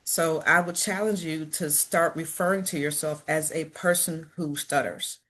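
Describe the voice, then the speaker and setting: Woman speaker, middle-aged, from Chicago, stuttering, earnest, encouraging, fairly low pitch. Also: fairly even intonation, measured pace, fairly bright tone, poor audio. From Chicago, United States. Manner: stutters, repeating words and sounds. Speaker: motivational speaker. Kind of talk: personal talk to an audience.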